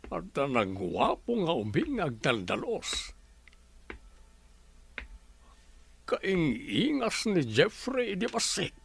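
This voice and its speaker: A man's voice: fairly high pitch, huge pitch swings, slow pace, vocal fry, slightly rough, exaggerated voice. An actor playing a hearty older father and grandfather in an Ilocano radio drama, with an Ilocano accent. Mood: musing, pleased.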